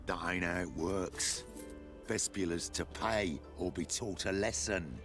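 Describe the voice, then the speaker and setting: An actor playing a bullying, sneering street thug in a video game, with a gravelly voice. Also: male voice, low-pitched, measured pace. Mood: threatening. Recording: loud game music under the voice.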